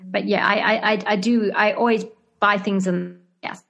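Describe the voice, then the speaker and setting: Zimbabwean actress, interview guest, mid-pitched, halting, laughs easily. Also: female voice, fairly even intonation, creaky, a bit bright, muffled recording. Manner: hesitant, many fillers and restarts, laughs often. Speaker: actress and screenwriter being interviewed. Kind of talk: casual interview answers.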